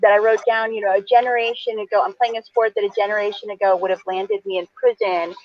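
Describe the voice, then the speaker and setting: A woman's voice: medium pitch, level tone, fast talker, no echo, dull audio. A woman in her forties, an attorney, talking earnestly and a little rambling on a video call, with lots of fillers. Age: 40s. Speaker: attorney and event moderator. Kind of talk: virtual author event conversation.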